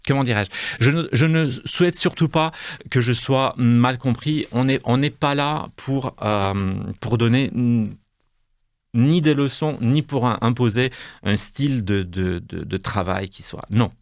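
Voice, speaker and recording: A man's voice: medium pitch, unhurried, no echo, studio quality. A man with a Romanian accent, a guest in a radio interview, speaking hesitantly with many fillers and restarts. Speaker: guest, cultural institute deputy director. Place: radio studio.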